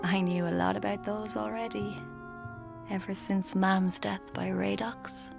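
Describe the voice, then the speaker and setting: An actress with an Irish accent playing a scheming, guilt-haunted young woman writer in a radio drama, with a breathy, creaky voice. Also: mid-range voice, little pitch movement, a little slow, music in the background. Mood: confessional, smug. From Ireland. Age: young adult. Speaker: lead actor and narrator in a radio drama. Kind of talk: intimate first-person radio drama narration.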